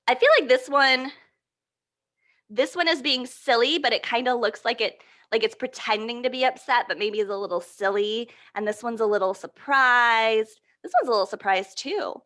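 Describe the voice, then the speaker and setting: Bright, high-voiced woman leading children's storytime. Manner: animated, encouraging, asks questions and answers them aloud. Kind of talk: interactive picture-book storytime for young children.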